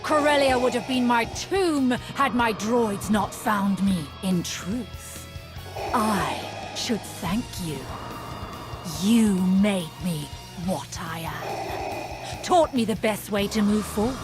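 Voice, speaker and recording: An actress playing a proud, obsessive Sith rival in a video game, with an intense, theatrical delivery. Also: female voice, mid-range voice, slow pace, natural voice. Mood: menacing, brooding. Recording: loud music under the voice.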